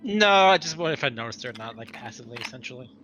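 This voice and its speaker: A man's voice: mid-range voice, lively intonation, rapid-fire, sharp tone, poor audio. A man taking part as a player in a tabletop roleplaying game, chatting casually at the game. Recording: background noise, room ambience.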